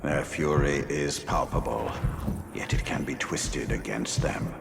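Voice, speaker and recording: An actor playing a brooding, calculating schemer in a fantasy video game. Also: male voice, extremely low pitch, unhurried, gravelly, heavily acted. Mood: brooding, cunning. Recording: very noisy, humming background.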